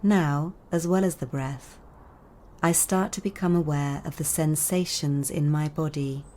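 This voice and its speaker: Low, soft-toned woman guiding meditation. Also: slight background noise. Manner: calm, soothing, measured delivery with long pauses. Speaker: meditation guide. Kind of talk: guided body scan meditation narration.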